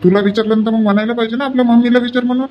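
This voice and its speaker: High-pitched village husband, played by a man. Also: steady tone, rapid-fire, lo-fi sound, slight background noise. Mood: scolding. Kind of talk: animated family comedy dialogue.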